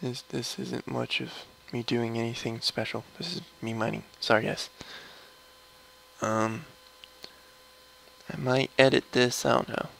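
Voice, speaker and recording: Casting: young man, low gravelly voice, slow mumbly stream commentary, faint hiss behind. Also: level tone, fairly bright tone, poor audio. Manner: slow, mumbly and rambling, trailing off. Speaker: gamer streaming a mining session. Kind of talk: casual gameplay commentary.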